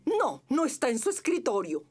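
Cartoon school principal: older, stern, humorless rabbit, formal, high and animated. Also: female voice, normal speed. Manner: strict, formal. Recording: music behind the voice.

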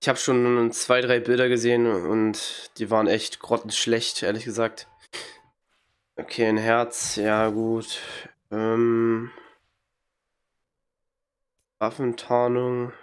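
A man's voice: mid-range voice, flat delivery, slow, long pauses, clear, resonant tone. Young man with a cold, blunt and slangy. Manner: blunt, slangy, mocking reactions. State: has a cold, occasional coughing. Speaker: gaming streamer. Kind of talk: casual gameplay commentary. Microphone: headset.

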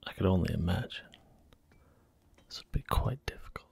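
A man talking softly through a video game in a slow, gentle ASMR whisper. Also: low voice, expressive, vocal fry, slightly rough, no echo. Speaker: ASMR gaming channel host. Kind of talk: soft-spoken ASMR gameplay commentary.

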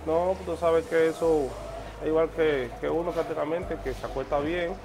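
A man's voice: fairly high pitch, fairly even intonation, moderate pace, noisy background. A middle-aged man, a horse groom, explaining his work in an interview at a wooden stable. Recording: music laid over location speech.

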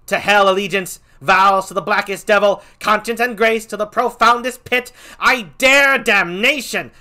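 Laertes: a man doing a raspy, furious young avenger. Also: mid-range voice, exaggerated voice. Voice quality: rascally, raspy. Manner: shouting, fierce. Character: enraged young nobleman out for revenge.

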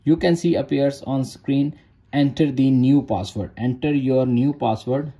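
Slow, mellow man giving step-by-step instructions. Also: medium pitch. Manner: short repeated instructions in non-native English. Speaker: tech tutorial host. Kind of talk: step-by-step screen tutorial narration.

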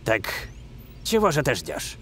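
A man's voice: mid-range voice, wildly swooping pitch, measured pace, a bit bright, faint music behind. A man voicing a smug young football villain in a cartoon, scheming, sneering and impatient. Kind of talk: cartoon voice acting.

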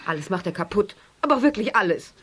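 A woman's voice: mid-range voice, big pitch swings, fast, a bit bright, slight background noise. An actress playing a strict, easily exasperated mother in a children's radio play, scolding and overbearing.

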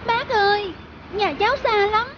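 A woman voicing a polite, pleading youngster in an animated story, over loud music. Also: very high voice, measured pace, dull audio, heavy background noise. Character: very high-voiced young child, polite and pleading. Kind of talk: animated moral story dubbing. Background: loud background music.

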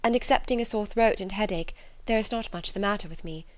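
A gentle young lady from a classic novel, read by a woman narrator. She is modest and composed, speaking softly and politely in a dry, close recording. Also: mid-range voice, a little fast, no echo, natural voice. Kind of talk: classic novel audiobook character.

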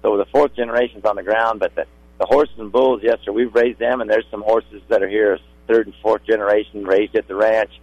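A man's voice: fairly low pitch, fairly even intonation, brisk, slightly rough, no room sound, dull audio. A middle-aged man with a polite, folksy way of talking, a guest in a casual radio interview. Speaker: rodeo contractor guest.

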